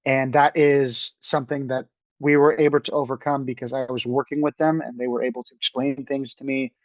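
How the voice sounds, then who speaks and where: Young man, halting, dry lo-fi sound. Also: medium pitch, no room sound. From New York. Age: young adult. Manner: halting, many restarts and fillers. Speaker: film director guest. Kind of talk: casual interview talk.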